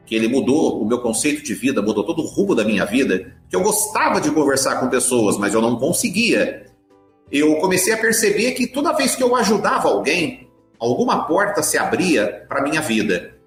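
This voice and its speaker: Animated Brazilian man in a roomy space. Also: a little high. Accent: Brazilian Portuguese. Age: middle-aged. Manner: emphatic, repeats words for stress. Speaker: podcast guest, author and speaker. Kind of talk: conversational storytelling in an interview. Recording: roomy echo with faint music.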